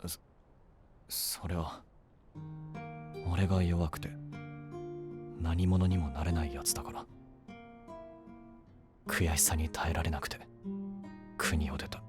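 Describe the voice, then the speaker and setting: A man's voice: extremely low pitch, level tone, takes it slowly, pauses often. A man playing a brusque, self-doubting young runaway in a fantasy audio drama, blunt, casual and brooding. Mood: bitter, downcast. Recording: voice over background music.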